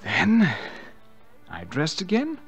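A man's voice: high voice, wildly swooping pitch, takes it slowly, a bit bright, faint music behind, exaggerated voice. A man playing a smug, scheming nephew in a radio drama, with theatrical, confessional delivery. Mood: sinister, gloating. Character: scheming, smug young nephew who murdered his aunt. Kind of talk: radio drama acting with first-person narration.

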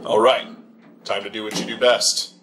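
A man's voice: on the low side, little pitch movement, moderate pace, a bit bright, slight background noise, close to an everyday voice. A man voicing a serious, authoritative older military captain giving mission orders in a video game. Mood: firm. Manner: authoritative, urgent. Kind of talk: scripted game mission briefing.

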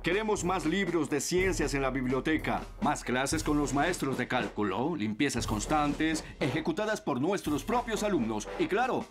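A man's voice: on the high side, lively intonation, normal speed, few pauses, music in the background, lightly acted. A man voicing an earnest, strict young student party president in a dubbed cartoon, making pompous speeches. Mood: self-important. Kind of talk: cartoon dubbing.

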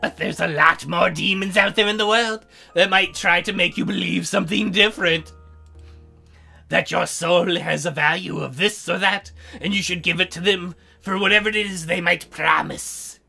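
A woman's voice: fairly low pitch, steady tone, normal speed, creaky, bright, forceful tone, breathy edge, faint music behind, pitched below the performer's own voice, exaggerated voice. A woman voicing a shy, lonely young female demon from a visual novel, earnest and hesitant as she speaks. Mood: confessing. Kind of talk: visual novel character read aloud.

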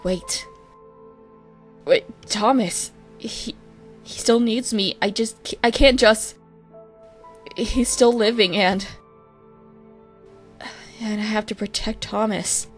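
A woman voicing an anxious, wounded young man who pleads desperately in halting stammers, in an amateur comic dub. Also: mid-range voice, soft background music, natural voice. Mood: anxious despair.

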